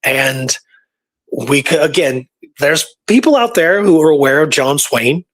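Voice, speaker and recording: A man's voice: fairly high pitch, animated, unhurried, a bit bright. A man co-hosting a casual podcast, talking in an enthusiastic, emphatic storytelling manner. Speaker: podcast co-host.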